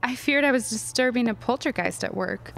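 A woman's voice: fairly high pitch, fairly quick, vocal fry, fairly bright tone, a little hiss or hum. A woman playing a plucky, nervous, scheming teenage girl with a mannered delivery in a period audio drama. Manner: mannered, self-coaxing.

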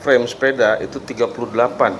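A man's voice: mid-range voice, steady tone, fast, slight background noise. A man in his forties hosting a casual review vlog in an indoor workshop, chatting and explaining things step by step with friendly asides. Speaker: DIY channel host. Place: workshop with tools on the wall, indoors.

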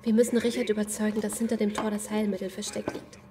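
An actress playing a desperate, scheming captive survivor in a German audio drama, speaking with tense urgency. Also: female voice, medium pitch, little pitch movement, fast talker, background noise, natural voice. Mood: urgent, scheming. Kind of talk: German post-apocalyptic audio drama acting.

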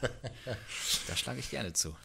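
A man's voice: lowish voice, expressive, a little slow, vocal fry, noisy background. A man in his thirties chatting casually as an interviewer into a handheld microphone, indoors. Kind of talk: casual chat. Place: living room with instruments, indoors.

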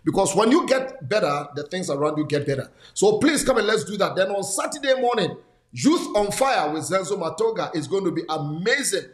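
High-voiced man in his sixties preaching. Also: expressive, fairly quick, roomy sound. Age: 60s. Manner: repeats words for emphasis, exhortative. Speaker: pastor and show host. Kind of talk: conversational mentoring talk. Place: studio set, indoors.

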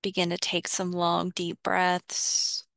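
A woman guides a visualization in short, measured phrases. Her delivery is very slow and a little low, with a creaky fry at the edges. The sound is dry, without room echo, and she leaves space between instructions. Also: monotone, fairly bright tone. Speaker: guided meditation host.

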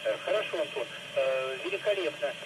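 A man's voice: fairly high pitch, animated, fairly quick. Man rambling over noisy radio. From Russia. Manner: rambling, hesitant, filler-heavy. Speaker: amateur radio operator. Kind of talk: casual radio chat. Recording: noisy two-way FM radio, loud static.

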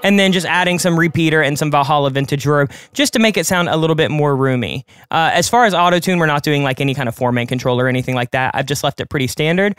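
A man of twenty-eight, a music producer hosting a tutorial, talking quickly and conversationally as he explains each production step from his home studio. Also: on the high side, a bit bright, smooth. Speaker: music producer and channel host. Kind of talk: screen-recorded production tutorial. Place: home studio with colored lights.

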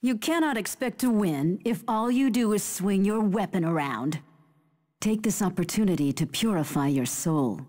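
A woman's voice: medium pitch, animated, normal speed, a little mellow, long reverb tail, close to an everyday voice. An actress playing a fierce female fighter who taunts opponents in haughty, theatrical battle lines for a video game. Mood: proud, declamatory. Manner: haughty, theatrical, clipped declarations.

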